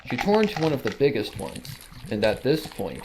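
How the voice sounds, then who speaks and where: Story narrator for dramatic fan fiction, lively mid-pitched delivery, some noise behind. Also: fairly quick, rough recording.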